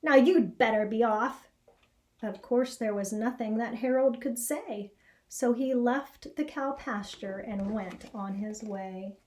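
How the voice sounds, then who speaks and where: An American woman reads a children's picture book aloud. Her voice sits fairly high and she takes her time, telling the story expressively. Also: takes it slowly, warm tone. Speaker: elementary school educator reading aloud. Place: small indoor classroom or office.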